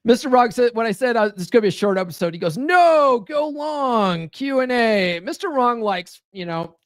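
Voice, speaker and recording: A man's voice: very high voice, animated, normal speed, dry recording, clean studio sound. A man hosting a podcast, talking loosely and profanely, full of "right?" and "like" fillers. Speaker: podcast host. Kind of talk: casual live-stream monologue.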